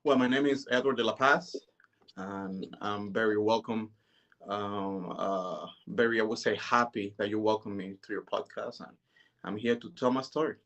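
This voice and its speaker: A man, telling his life story as an interview guest in a relaxed, hesitant way. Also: lowish voice, moderate pace, creaky. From Dominican Republic. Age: 30s. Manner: relaxed, hesitant, many "you know"s.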